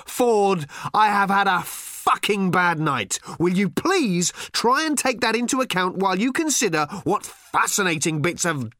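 A frazzled, sarcastic everyman, played by a man reading an audiobook, who climbs into shrill, bellowing rants whenever he is pushed too far. His voice leaps wildly up and down in pitch. It is recorded close and completely dry. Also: no echo. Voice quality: exasperated, shouting sarcastic rants. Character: frazzled sarcastic middle-aged Englishman, man.

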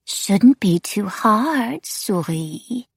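A woman voicing a sinister, demented female wraith who taunts in a breathy, whispering way with sing-song repetition. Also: medium pitch, expressive, very slow, no room sound, studio quality, voice pushed up, full character voice. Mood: mocking.

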